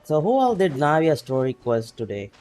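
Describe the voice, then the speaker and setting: A man's voice: fairly high pitch, sing-song, moderate pace, a little mellow, a little hiss or hum. A man streaming a video game, chatting casually to his viewers and laughing often as he plays. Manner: laid-back, chatty, laughs often, greets chat by name. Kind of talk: casual gameplay stream chat.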